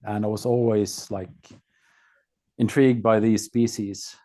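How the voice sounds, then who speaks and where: A man from Norway with a Norwegian accent, a podcast guest telling his own story casually in a halting, laconic way. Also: lowish voice, little pitch movement, warm tone.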